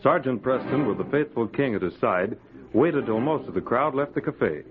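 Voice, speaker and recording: Medium-pitched man narrating tinny old radio. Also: animated, normal speed, over music. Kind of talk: dramatic scripted radio narration.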